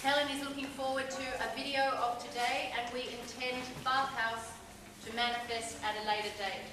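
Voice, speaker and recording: A woman's voice: sits high, level tone, normal speed. A woman presenter reading an announcement to a live audience in a theatre, picked up by a distant camera. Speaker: festival presenter. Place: theatre stage before a seated audience. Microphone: far camera in the audience. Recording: echoey, noisy hall from far off.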